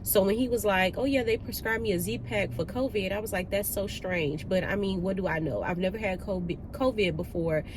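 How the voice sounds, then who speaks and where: A woman's voice: medium pitch, brisk, few pauses. A woman with a nasal, stuffed-up voice from a cold, chatting informally and slangily to viewers as a vlog host. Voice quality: nasal, congested. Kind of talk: casual chat to viewers. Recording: noisy car ambience.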